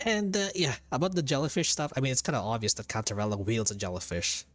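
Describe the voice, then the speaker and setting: A man's voice: mid-range voice, fast, a bit bright, no room sound. A man giving casual game playthrough commentary as a streamer, rambling as he thinks aloud. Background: game music.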